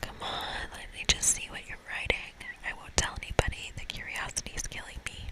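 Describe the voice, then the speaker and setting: A woman's voice: fairly quick, lightly acted. A woman voicing a brash, nosy, hot-headed young male demon from a video game, pestering and indignant, in a whisper. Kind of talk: whispered video game dialogue read aloud.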